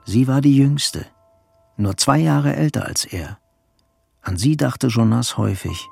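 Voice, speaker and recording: Creaky-voiced man narrating a radio drama. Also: medium pitch, warm tone.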